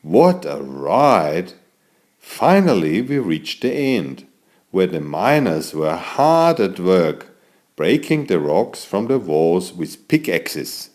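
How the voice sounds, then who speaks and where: Guy reading a kids bedtime story, slow n mellow but pitch swings around a lot, really expressive. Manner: expressive, exclamatory, addressing young listeners. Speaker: storyteller for small children. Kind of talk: scripted bedtime story narration.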